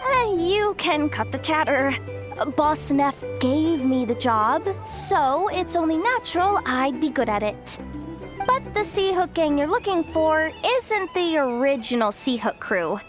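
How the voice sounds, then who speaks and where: An actress playing a blunt, world-weary young female guide in a fantasy game, curt, streetwise and deadpan. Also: high voice, measured pace, over music. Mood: blunt, dismissive. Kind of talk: game voice acting.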